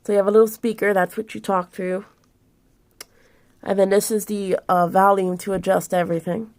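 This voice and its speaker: A woman in her twenties with a medium-pitched voice presents items in a casual vlog. She explains each thing in an informal, rambling way, as if demonstrating it by hand. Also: flat delivery, normal speed, darkish tone. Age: 20s. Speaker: vlogger showing purchases. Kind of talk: casual show-and-tell vlog.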